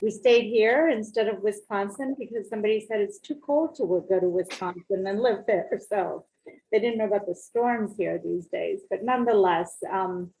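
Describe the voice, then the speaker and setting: A woman from Pakistan, a community leader, answering interview questions over a video call in a measured way with some fillers. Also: medium pitch, mellow, rough recording.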